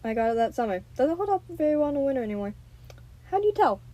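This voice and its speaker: A woman's voice: high voice, big pitch swings, very fast, dark tone. A woman playing a wry, understated, world-weary private detective who narrates the case in a 1950s-style radio drama. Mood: guarded, casual.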